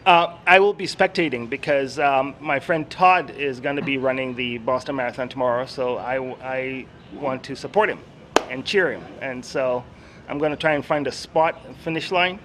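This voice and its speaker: A man's voice: medium pitch, normal speed, a bit bright, slight background noise. A man from Kenya, a podcast guest chatting outdoors in a friendly, hesitant way with many fillers. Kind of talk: casual interview chat. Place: outdoors on campus steps.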